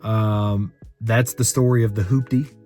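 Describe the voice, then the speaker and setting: Rambling monotone young man. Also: fairly low pitch, unhurried, soft background music. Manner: rambling, filler-heavy, conversational. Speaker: car vlogger. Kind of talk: unscripted story time rant.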